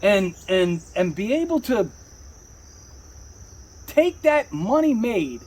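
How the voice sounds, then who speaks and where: A man with a husky voice telling his story casually, in emphatic, repetitive, slangy talk with frequent swearing. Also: sits high, sing-song, slow pace, a little mellow, slight background noise. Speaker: rapper, interview guest. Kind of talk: casual rambling personal storytelling.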